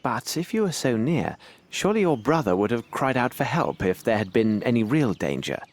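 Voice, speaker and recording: An actor playing a composed gentleman detective in a video game, speaking formally and precisely with courteous authority. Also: mid-range voice, very animated, moderate pace, lightly acted. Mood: gently questioning. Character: composed, commanding, clever English gentleman detective, adult male. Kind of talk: video game cutscene acting.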